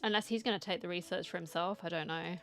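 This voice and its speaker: A woman's voice: lowish voice, fast. A woman giving casual playthrough commentary as a game streamer, with sympathetic, muttered reactions. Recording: game music underneath. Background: game music.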